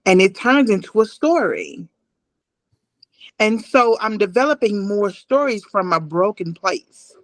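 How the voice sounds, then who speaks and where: Woman, thirties, mid-range voice, emphatic, deliberate, personal storytelling, dry recording. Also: mid-range voice, expressive, no echo. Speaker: playwright, interview guest. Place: indoors, on a video call.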